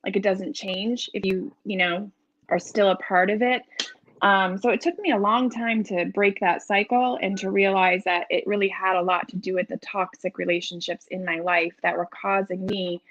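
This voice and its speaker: A woman's voice: mid-range voice, fairly even intonation, moderate pace, fairly bright tone, highs cut off. A middle-aged woman with a New England accent speaking frankly and emphatically as a guest in a candid conversational interview. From New England, United States. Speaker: interview guest, author in recovery.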